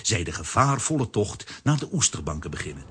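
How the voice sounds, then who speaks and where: A man's voice: lowish voice, big pitch swings, a little fast, noisy background. A man narrating a children's audio play as a storyteller, in a scripted style. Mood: storytelling.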